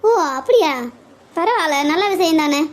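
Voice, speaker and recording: A woman's voice: extremely high pitch, animated, moderate pace. A woman voicing a suspicious, businesslike wife in a cartoon parody, questioning her husband firmly. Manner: questioning, firm.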